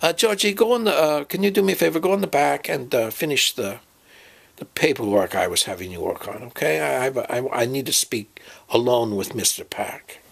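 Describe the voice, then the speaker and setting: Man doing a halting, gravelly professor. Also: mid-range voice. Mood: hesitant, polite. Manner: halting, hedging, stammering. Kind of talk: amateur audio drama dialogue.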